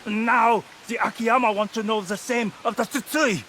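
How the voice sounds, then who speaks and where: A man's voice: very high voice, steady tone, normal speed, fairly bright tone, a little hiss or hum. An actor playing a wounded, anxious peace envoy in a video game cutscene, his voice weak, strained and urgent. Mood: agitated. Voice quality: creaky, strained.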